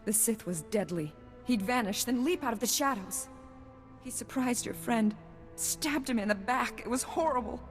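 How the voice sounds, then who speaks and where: An actress playing a frightened young research worker and anxious survivor in a video game, hesitant and emotional. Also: female voice, on the high side, expressive, moderate pace, big-room sound, soft background music, natural voice. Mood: shaken. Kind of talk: video game cutscene acting.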